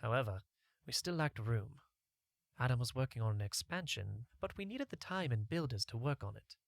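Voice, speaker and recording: A man's voice: mid-range voice, expressive, moderate pace, vocal fry, dry recording, studio quality. A man reading a scripted first-person story as an audiobook narrator.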